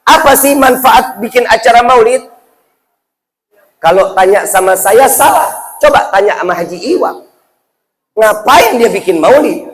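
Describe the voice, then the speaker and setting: High-pitched Indonesian male preacher, playful and colloquial. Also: low-quality recording. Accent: Jakarta Indonesian. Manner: colloquial, playful, interactive with rhetorical questions. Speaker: Islamic preacher. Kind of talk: humorous sermon to a live audience.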